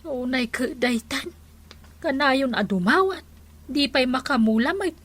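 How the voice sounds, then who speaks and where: High-pitched nosy village woman. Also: measured pace, a bit bright. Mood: gossipy. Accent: Ilocano. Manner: questioning, blunt. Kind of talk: radio comedy drama acting.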